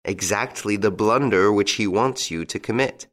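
A man voicing a calm, shrewd police prefect who gives polite, measured advice in a storybook reading. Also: on the low side, natural voice. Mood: shrewd, explaining.

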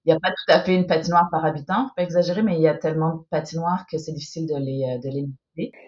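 A woman's voice: low voice, fairly even intonation, racing, a little mellow, lo-fi sound. A woman in her forties from France whose accent has picked up a Québécois tinge, telling her family story in a chatty way full of fillers. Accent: French with a Québécois tinge. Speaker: guest parent. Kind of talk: casual podcast interview.

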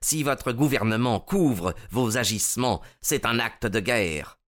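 Un homme jouant un espion allemand amer et vaincu. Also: male voice, mid-range voice, animated, a bit bright, voice pushed up. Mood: indignant. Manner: slow, resolute, aggrieved. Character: bitter, defeated, indignant German spy, proud man.

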